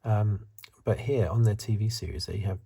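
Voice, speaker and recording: A man giving soft-spoken, half-whispered ASMR commentary in a husky, breathy voice, musing and rambling as he goes. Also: lowish voice, moderate pace. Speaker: ASMR reviewer.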